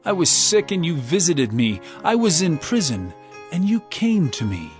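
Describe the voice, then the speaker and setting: A man's voice: on the high side, very animated, normal speed, faint music behind, voice pushed up. A man playing a majestic king in judgment, solemn and declamatory, in a dramatized scripture reading. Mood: solemn welcome. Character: majestic divine king judging the blessed and cursed.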